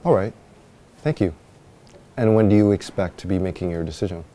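A man's voice: sits low, fairly quick, dark tone, slight background noise, natural voice. A man playing an earnest job applicant. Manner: polite, earnest, carefully spoken. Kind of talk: scripted dialogue for language learners. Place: office interior.

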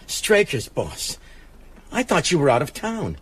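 An actor playing a gruff, shady small-town American businessman in a radio drama, with a husky voice and American accent. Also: a little high, huge pitch swings, measured pace, a bit bright, exaggerated voice. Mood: tough, wary.

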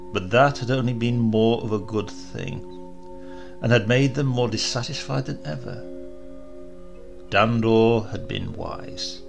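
A man narrates a science fiction horror tale in a slow, deliberate reading voice. His tone is gravelly, and music plays clearly beneath him. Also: mid-range voice. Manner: slow, measured storytelling with gravelly tone. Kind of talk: audiobook narration.

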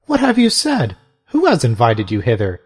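A man's voice: mid-range voice, expressive, moderate pace, a little mellow, soft background music, pitched above the performer's own voice, lightly acted. A man voicing a fierce, defiant old abbess. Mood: indignant. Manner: indignant, scornful.

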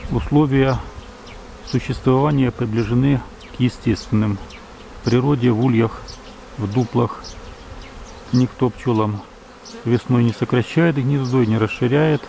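A man from Ukraine, a beekeeper, calmly narrating outdoors at his hives, reading out times and temperatures in a measured, matter-of-fact way. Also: mid-range voice, vocal fry, a little hiss or hum, low-quality recording. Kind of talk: calm narration of apiary footage. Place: outdoors at an apiary beside hives. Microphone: camera's built-in mic. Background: buzzing bees.

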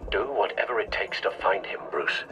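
A man's voice: low voice, expressive, normal speed, low-quality recording. A man acting a serious, determined ally speaking over comms in a video game, clipped in manner.

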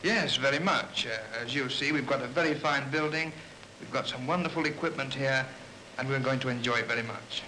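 A man's voice: mid-range voice, brisk, sharp tone. A British man, an engineer in charge, answering politely and a little stiffly in a 1950s interview. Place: transmitter station control room. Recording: noisy 1950s film soundtrack.

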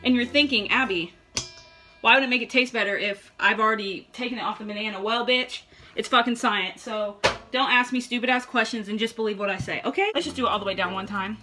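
A young woman clowns her way through a cooking tutorial in her home kitchen, swearing nonstop with a brash, mock-expert delivery. Her voice sits a little high, and she talks to a camera set up in front of her. Loud music plays underneath her talk. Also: fast. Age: young adult. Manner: brash, profane, mock-instructional. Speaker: vlogger. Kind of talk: comedic cooking vlog. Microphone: camera in front at table height. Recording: music playing loudly behind.

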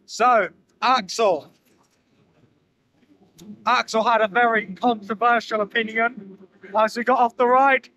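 Excitable high-voiced lad. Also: male voice, slow, long pauses, rough recording. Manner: gushing, excitable, stumbling over words. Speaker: theme park vlogger. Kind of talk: casual vlog chat. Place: outdoors at a theme park.

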